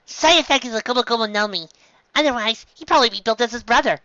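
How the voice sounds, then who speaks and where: Lady doing a little excitable reindeer doc, cartoon style, high n bright, kinda dull recording. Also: fast talker, no room sound. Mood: chatty, cheerful. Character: small, excitable reindeer doctor, matter-of-fact, cartoon character. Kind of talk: fan fiction reading of a cartoon.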